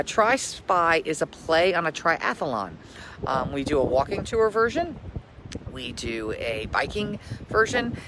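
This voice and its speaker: A man's voice: a little high, noisy background. A man who works as a local history tour guide, speaking in an emphatic storytelling way. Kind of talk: scripted story reading, then informal tour talk.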